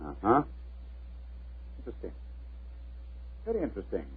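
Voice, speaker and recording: A man's voice: fairly low pitch, wildly swooping pitch, normal speed, a little hiss or hum. A man playing a shrewd, confident English detective with a clipped, incisive manner, in a 1940s radio drama. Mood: pondering. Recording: dull old radio recording, music behind.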